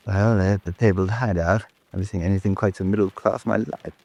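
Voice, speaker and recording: A man's voice: sits low, fairly even intonation, fast, a little mellow, muffled recording. A man playing a wry, teasing upper-class colleague in a radio drama, with a British accent and clipped, bantering delivery. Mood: wry, sceptical.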